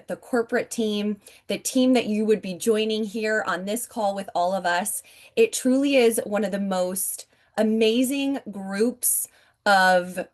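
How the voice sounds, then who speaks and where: A woman in her forties giving an upbeat, chatty sales pitch from home over a video call, often addressing her listeners directly. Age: 40s. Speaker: network marketing presenter.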